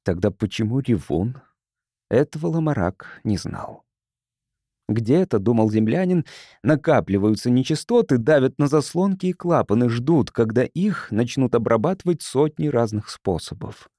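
A man narrates a science fiction audiobook in a soft, mellow voice at a middle pitch. The sound is dry and close, with scripted, measured storytelling delivery. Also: expressive, no room sound.

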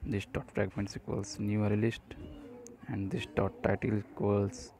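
A man narrating a step-by-step programming tutorial, speaking haltingly in short phrases. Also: on the low side, level tone, creaky, warm tone.

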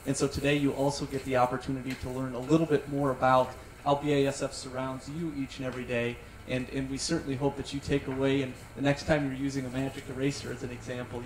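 A middle-aged man, a marketing director, speaking in a polished corporate way on a panel into a handheld mic in a tent. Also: mid-range voice. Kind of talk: panel discussion. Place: large tent at an outdoor event. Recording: roomy sound from some distance, faint noise.

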